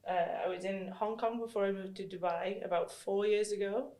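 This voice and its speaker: A woman's voice: mid-range voice, steady tone, moderate pace, dark tone. A woman from northeast England, chatting casually as a podcast guest. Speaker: podcast guest, CrossFit coach. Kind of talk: casual chat. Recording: echoey room.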